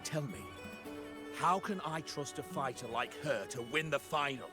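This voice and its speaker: A scornful, money-minded schemer in a video game acted by a man, with a high voice for a man. He sneers and protests theatrically, his pitch leaping about, over clear background music. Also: lively intonation, normal speed, lightly acted. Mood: indignant, pressing.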